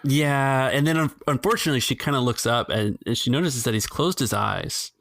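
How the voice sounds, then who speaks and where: A man's voice: medium pitch, a little fast, vocal fry, a bit bright, no room sound, studio quality. A man narrating a tabletop roleplaying game casually as the game master.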